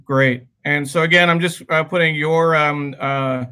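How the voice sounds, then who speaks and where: Male livestream co-host, bright forward tone, higher-set voice, casual and halting, on a video call. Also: steady tone, clear, resonant tone.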